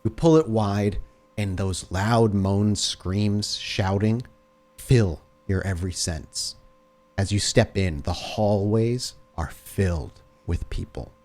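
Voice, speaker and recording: A man narrating a tabletop horror game as game master, cinematic, vivid and deliberate. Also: fairly low pitch, a little mellow. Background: music.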